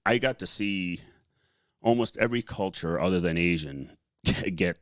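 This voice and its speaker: A man telling his personal story casually as a podcast co-host, halting often as he gathers his thoughts. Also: deep voice, smooth, no echo, clean studio sound. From born in Liberia, later raised in the US, of Lebanese family. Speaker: podcast co-host and article writer.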